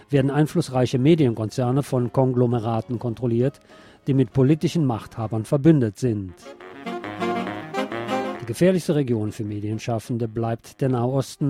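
Male radio presenter, mid-range voice, scripted delivery over clear background music. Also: medium pitch, dark tone. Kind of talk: scripted news reading, later live eyewitness report.

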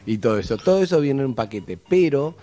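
A man's voice: medium pitch, big pitch swings, brisk, mellow. An Argentine man hosting a talk show, bantering in a playful, teasing way and often interrupting.

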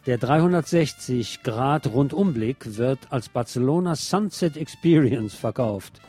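A man's voice: mid-range voice, lively intonation, measured pace, mellow, husky, soft background music. A man presenting scripted local news in German, with a wry, opinionated streak. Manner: sardonic, opinionated asides.

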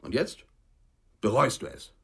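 An actor playing a stern, self-righteous brother in a radio drama, stilted and defensive. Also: male voice, measured pace, pushed and bright. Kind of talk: 1990s German radio crime drama acting.

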